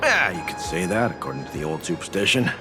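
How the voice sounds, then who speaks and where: A man's voice: low-pitched, very animated, quick pace. An actor voicing a chatty, pedantic local man in a video game, explaining things hesitantly. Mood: explanatory, easygoing. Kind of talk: video game voice acting, exposition. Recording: game music underneath.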